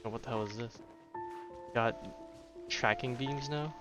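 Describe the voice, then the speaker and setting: A man's voice: medium pitch, measured pace, music in the background. A man giving casual live gameplay commentary as a streamer, rambling and offhand. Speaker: game streamer.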